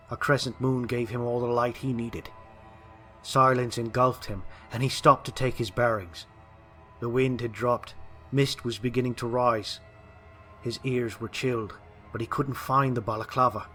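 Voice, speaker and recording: A man's voice: medium pitch, a bit bright. A man reading a scripted historical ghost story as a dramatic narrator.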